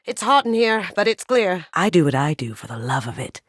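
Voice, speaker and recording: A playful, coolly confident female master thief from a sci-fi video game. Her voice sits a little low for a woman and she talks with wry, sly ease. The recording is very dry, with no room around it. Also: sing-song, fairly quick, no room sound. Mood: wry, relaxed. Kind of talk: video game character voice acting.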